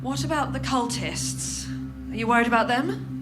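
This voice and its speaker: A woman's voice: fairly high pitch, music in the background, close to an everyday voice. A woman playing a composed, diplomatic and politely evasive interrogator in an audio horror drama. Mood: cautious, secretive. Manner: measured, diplomatic.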